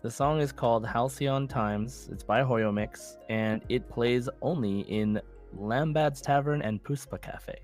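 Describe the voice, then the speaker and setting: Man, mid-pitched voice, podcast host, casual quiz chat, encouraging, music in background. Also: a little slow, few pauses, a little mellow.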